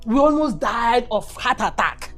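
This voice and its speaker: A woman playing a sister who is delighted by her brother's return and exclaims loudly. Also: on the high side, animated, a little slow, faint music behind. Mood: excited. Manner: exclaiming, teasing.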